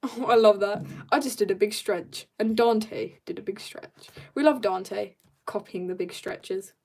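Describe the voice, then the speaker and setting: A woman's voice: medium pitch, measured pace, creaky, darkish tone. A young woman chatting casually on an art livestream, rambling and slangy as she jumps between asides in a giggly way. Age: teenager or young adult. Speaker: streamer drawing and chatting.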